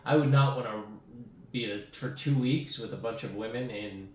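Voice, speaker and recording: A man in his thirties hosting a casual comedy interview, speaking haltingly and often rephrasing himself. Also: medium pitch. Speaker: podcast host. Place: small room at home, indoors. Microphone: podcast microphone on table arm. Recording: roomy echo, distant from mic.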